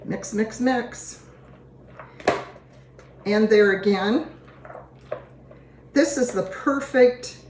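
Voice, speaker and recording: A woman hosting a home cooking show, a little hoarse, chatting in a homey way as she works through a recipe. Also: medium pitch, noisy background. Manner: chatty, homey, talks while working. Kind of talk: casual cooking demonstration. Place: home kitchen. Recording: echoey kitchen with clattering dishes. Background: dishes and utensils clinking.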